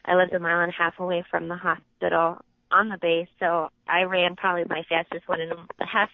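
A woman whose first language is Japanese, a Navy nurse, speaking in a lowish voice and telling her story at an easy, conversational pace with plenty of ums. She comes through a narrow, phone-like line. The sound is dry, with no room around it. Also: fairly quick, no echo. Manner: conversational, many ums, recounts calmly. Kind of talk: recounting experiences in an interview.

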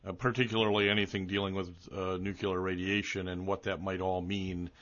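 A man hosting an interview, asking questions in a halting way, with many uhs and restarts. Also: low-pitched, little pitch movement, a bit bright. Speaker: interviewer host. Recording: dull lo-fi audio.

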